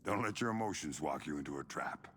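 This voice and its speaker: A calm veteran spec ops man in a game, really low and forceful, terse, some ambient noise. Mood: calm, focused. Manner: terse, tactical, measured. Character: disciplined veteran covert operative, calm and cautious. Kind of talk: cinematic game acting.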